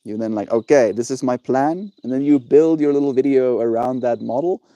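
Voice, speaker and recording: A man's voice: medium pitch, normal speed, mellow, dry recording. A young man chatting casually on his livestream, laid-back and encouraging, answering viewers and calling them bro.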